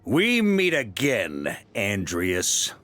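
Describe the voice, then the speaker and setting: An actor playing a calm, confident knight grandmaster in a fantasy game, speaking with measured, easygoing authority. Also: male voice, lowish voice, long reverb tail, soft background music, heavily acted. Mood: resolute.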